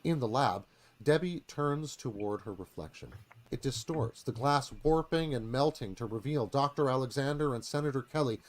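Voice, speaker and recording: A man's voice: mid-range voice, normal speed. A man giving a dramatic scripted narration, reading stage directions as narrator.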